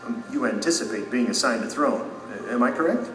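Humble, regretful citizen, male actor: mid-pitched, expressive, earnest confession with thoughtful pauses, echoing hall setting. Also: distant mic, natural voice. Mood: gently earnest. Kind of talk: audio drama acting. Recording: echoey hall, music behind.

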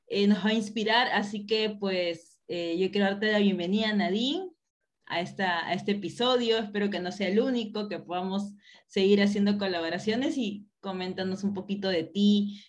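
A woman speaking as a podcast host in a casual, conversational interview style. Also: mid-range voice.

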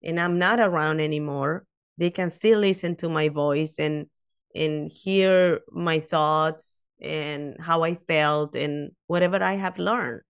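A woman's voice: sits low, slow pace, smooth. A woman in her fifties, not a native English speaker, chatting as a guest on a podcast and telling stories with many restarts and asides. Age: 50s. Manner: animated storyteller, frequent restarts and "you know". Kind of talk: casual interview chat.

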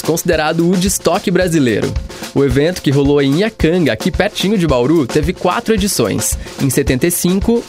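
Man narrating over soft music. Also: a little high. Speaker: narrator of a documentary feature. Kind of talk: scripted narration.

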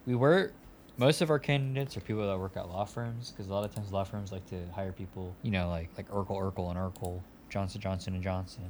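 A man playing a smug, rich male vaccine company executive, talking deadpan nonsense with bursts of giggling. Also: low-pitched, fairly quick, slight background noise, close to an everyday voice. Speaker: podcast guest doing a bit.

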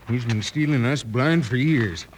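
An actor playing an indignant old gold miner with folksy speech in an old-time radio western. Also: male voice, medium pitch, unhurried, background noise, close to an everyday voice. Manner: folksy, aggrieved. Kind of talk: 1950s radio western acting.

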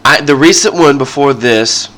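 A male podcast host who sounds stuffed up and nasal from a sinus infection. His tone is bright and sharp, and he chats loosely through the intro, circling back and restarting his sentences. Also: medium pitch, moderate pace. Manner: rambling, with frequent ums and restarts.

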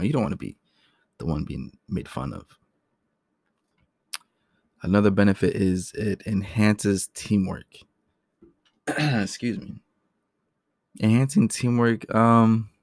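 A man hosting a casual solo talk, his voice raspy, often clearing his throat and speaking loosely. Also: on the low side, darkish tone, no echo, clean studio sound. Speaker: podcast host.

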